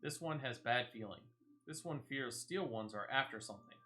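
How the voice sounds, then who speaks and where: A gamer voicing a timid, wary creature of the wilds from a fantasy game, speaking simply and haltingly in the third person. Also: medium pitch, expressive, sharp tone. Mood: worried, pleading. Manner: halting third-person speech, repeating words. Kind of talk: reading game dialogue in character.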